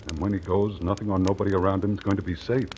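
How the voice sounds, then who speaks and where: A man's voice: sits low, little pitch movement, very fast, a little mellow, natural voice. An actor playing a hard-boiled, cynical small-town newspaperman with a grudge in old-time radio drama style. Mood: warning, curt. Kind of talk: 1940s-50s radio drama acting. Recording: noisy, crackling old radio recording.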